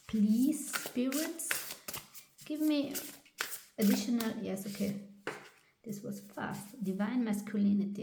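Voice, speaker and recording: A woman with a German accent, a native German speaker, giving a tarot reading in a halting way with many pauses and restarts. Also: fairly high pitch, creaky, echoey room, noisy background. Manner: hesitant, halting with frequent ums and restarts. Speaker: tarot reader.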